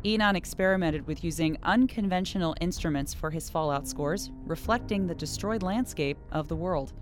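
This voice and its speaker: A woman host reading a scripted introduction in a smooth, measured way. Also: lowish voice, music in the background. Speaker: interviewer and narrator. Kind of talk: scripted narration.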